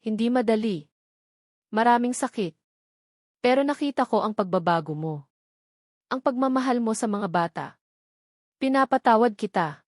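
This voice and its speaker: A woman with a Filipino accent voicing a hurt but forgiving young mother, emotional and halting, in a narrated love story. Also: a little high, animated, no echo, studio quality, lightly acted.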